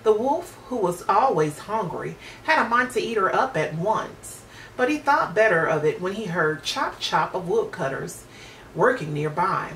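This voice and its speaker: Animated woman reading a children's story. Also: mid-range voice, unhurried. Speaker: storybook reader. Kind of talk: expressive children's story narration. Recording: roomy, distant mic with faint noise.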